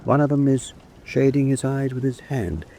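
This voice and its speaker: A man's voice: mid-range voice, normal speed, dark tone, lightly acted. A man playing an observant, loyal prisoner-of-war officer in a radio drama. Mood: attentive. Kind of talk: vintage-style war radio drama acting. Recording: noisy track with background ambience.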